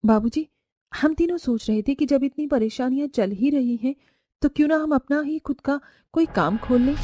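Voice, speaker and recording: A woman's voice: sits high, dark tone, no room sound, clean studio sound, voice pushed up. A woman voicing a humble, patient young village daughter-in-law in a cartoon story, polite and earnest.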